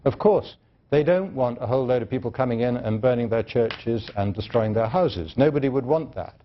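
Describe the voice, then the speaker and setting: A man answering as a studio guest on a television call-in program, measured, with frequent restarts. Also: medium pitch, expressive, dull audio, a little hiss or hum. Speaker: studio guest, terrorism analyst.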